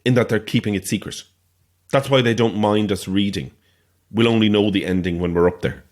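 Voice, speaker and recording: Man playing a deep-voiced, self-important Irish actor. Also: a little fast, a little mellow, natural voice. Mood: chatty, confiding. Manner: boastful, chatty, theatrical asides. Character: chatty, self-important small-time male actor, pleased with himself. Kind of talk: naturalistic audio drama acting.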